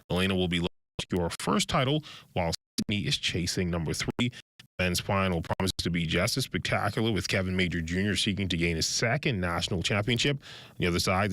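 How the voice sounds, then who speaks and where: A man presents sports news from a script. His voice sits low and is recorded dry, with no room around it. He phrases formally and with measure, setting off key words like a practiced newsreader. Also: fairly bright tone, dry recording.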